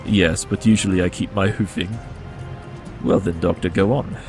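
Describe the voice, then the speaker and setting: An actor playing a brilliant, condescending pony detective in an audio drama, curt and dryly sarcastic. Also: male voice, sits low, steady tone, normal speed, darkish tone, soft background music. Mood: composed, superior. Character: brilliant, dry, condescending male pony detective with cat allergy.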